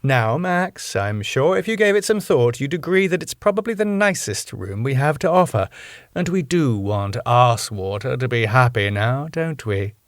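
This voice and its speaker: A man's voice: mid-range voice, animated, moderate pace, no room sound, studio quality, close to an everyday voice. A man voicing a cheerful, domineering middle-aged wife in a raised voice. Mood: coaxing. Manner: sweet but domineering.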